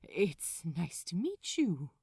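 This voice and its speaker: A woman's voice: on the low side, big pitch swings, takes it slowly, warm tone, husky, full character voice. A woman voicing an anxious, polite, proper man who speaks hesitantly in a fan-fiction reading. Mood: shy, polite. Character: flustered, fretful, politely proper man, Aziraphale.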